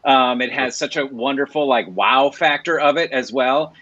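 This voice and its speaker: A man's voice: medium pitch, moderate pace, sharp tone, no room sound, lo-fi sound. A man guest on a podcast speaking eagerly, often restarting his sentences. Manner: eager, stumbling starts. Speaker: voice actor guest. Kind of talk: casual podcast chat.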